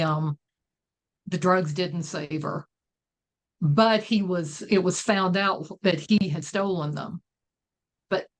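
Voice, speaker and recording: A woman speaking as a guest author, casually talking through a story in conversation. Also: on the low side.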